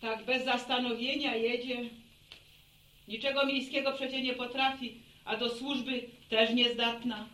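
Middle-aged village mum, fussy and plain-spoken, high voice, slightly distant roomy radio sound. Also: female voice, level tone, measured pace, sharp tone. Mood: worried. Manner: exclaiming, fussing, sighing over family. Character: fretful, plain-spoken village mother, bustling and worrying. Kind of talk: naturalistic radio drama acting. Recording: roomy, distant radio recording, faint noise.

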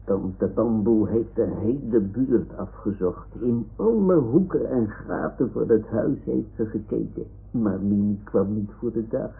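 A man's voice: on the low side, animated, a little slow, creaky. A man playing a fussy, pompous, grumbling toy lion who is a boy's confidant in a radio play. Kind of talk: 1960s Dutch radio play acting. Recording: old narrow-band radio recording.

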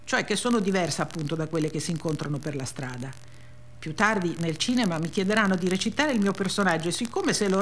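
An actress reading a memoir as a blunt, resentful female narrator, with deadpan, childlike run-on phrasing. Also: on the low side, slight background noise, natural voice. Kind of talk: dramatic reading of a first-person memoir.

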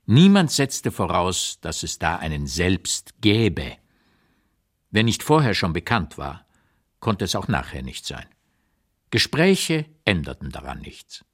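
Deep-voiced old memoirist, expressive delivery. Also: male voice, takes it slowly, exaggerated voice. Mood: bleak, caustic. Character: 85-year-old male writer, blunt, looking back on London society. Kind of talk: literary radio reading, first-person memoir narration.

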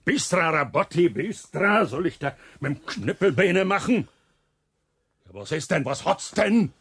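A man's voice: on the high side, expressive, measured pace, a bit bright, dry recording, full character voice. An actor playing a coarse but kindly foreman in a radio drama, speaking folksy Silesian German dialect. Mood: gruff, goading. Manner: folksy, gruff dialect talk.

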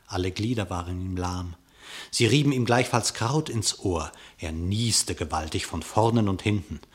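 A man narrating a classic radio play in verse, in an expressive, declamatory storytelling manner. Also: fairly low pitch.

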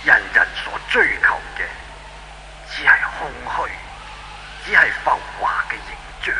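An actor with a Hong Kong Cantonese accent playing an ancient, patient heavenly god who pleads and moralizes in an old radio drama. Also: male voice, a little high, sing-song. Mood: solemn preaching. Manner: preachy, measured, pleading. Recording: faint noise, old 1970s radio recording.

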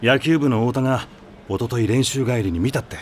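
A man playing a calm senior high school boy in an anime audio drama, with a measured, solemn way of talking in casual slang. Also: slight background noise, lightly acted. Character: calm, gentle-faced senior high school boy telling ghost rumours.

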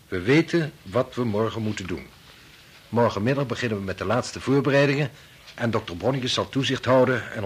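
An actor with a Netherlands Dutch accent playing a decisive, matter-of-fact medical researcher steering a meeting in a radio drama. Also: male voice, lowish voice, a little hiss or hum, close to an everyday voice.

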